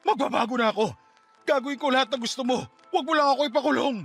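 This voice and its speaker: Whining, pleading Filipino stepfather, high-pitched. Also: very fast, a bit bright, heavily acted. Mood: desperate pleading. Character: pleading, manipulative abusive stepfather begging for forgiveness. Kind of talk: Filipino radio drama acting. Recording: music under the voice.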